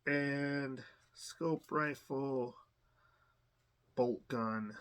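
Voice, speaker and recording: A man's voice: medium pitch, extremely slow, clear, resonant tone. A man hosting an unboxing video, talking casually with lots of fillers and restarts and a slight cough. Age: adult. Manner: relaxed, rambling, many fillers and restarts. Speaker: unboxing channel host.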